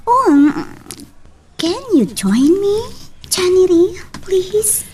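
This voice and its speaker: Woman playing a high-pitched, tipsy, coaxing young Ilocano woman. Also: very animated, very slow, darkish tone, far from the mic, exaggerated voice. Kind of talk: amateur audio drama acting.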